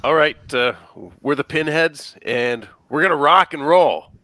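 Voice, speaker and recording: A man reading the part of an anxious, defensive teenage high-school rocker at a script table read. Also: measured pace, bright, forceful tone. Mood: defiant.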